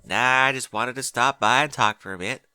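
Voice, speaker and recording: A man's voice: mid-range voice, moderate pace, pushed and bright, clear, resonant tone, no echo. A man voicing a friendly, down-to-earth country pony mare with an easygoing drawl.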